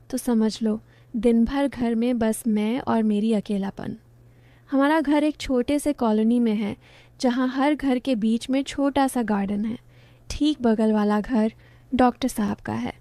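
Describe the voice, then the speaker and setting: Mellow-voiced woman narrating a story. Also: fairly high pitch, smooth, dry recording. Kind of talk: first-person story narration.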